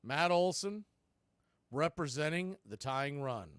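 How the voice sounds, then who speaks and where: A man calling baseball play-by-play, pitch by pitch, in a fairly high, clear voice. He keeps a steady, measured rhythm. The sound is dry and close to the mic, with no room in it. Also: no echo. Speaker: play-by-play announcer.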